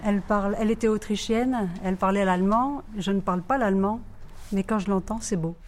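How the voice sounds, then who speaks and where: A woman from France talking in a thoughtful, measured way. Her pitch is ordinary for a woman, and she takes her time with each point. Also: darkish tone. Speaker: workshop participant. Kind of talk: reflective group talk.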